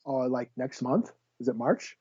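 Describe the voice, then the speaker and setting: A man's voice: medium pitch, animated, a little slow, warm tone, slightly rough, no echo. A man hosting a casual comic book podcast, rambling and sweary as he gropes for names. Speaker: podcast host. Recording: slightly dull, dry lo-fi audio.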